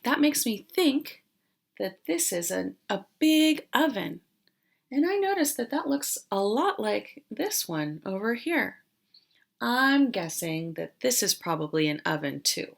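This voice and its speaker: A woman teacher doing an interactive read-aloud for young children, explaining as she goes and pausing after questions. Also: fairly high pitch, very animated, vocal fry. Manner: questioning, explanatory, with pauses for answers.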